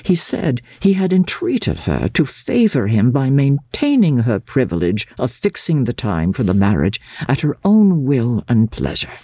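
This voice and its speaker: A woman giving a formal, expressive and measured dramatic narration of a diary from a classic novel. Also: a bass voice, breathy edge, no room sound. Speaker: audiobook narrator.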